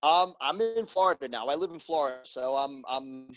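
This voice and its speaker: A man's voice: mid-range voice, a little fast, no room sound. A New York-accented man joins as a guest over a remote call. The audio sounds dull and very dry. He talks easily and often repeats words as he starts a sentence. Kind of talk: casual interview.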